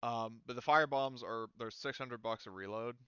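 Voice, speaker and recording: A man's voice: medium pitch, moderate pace, bright, forceful tone, clean tone, no echo, highs cut off. A man talking casually as the game master of a tabletop role-playing game.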